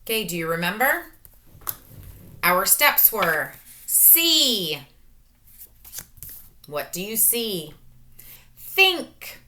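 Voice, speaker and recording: A woman teacher reading a picture book aloud to young children, talking through each step with expressive, sing-song questions. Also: medium pitch. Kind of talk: children's read-aloud lesson.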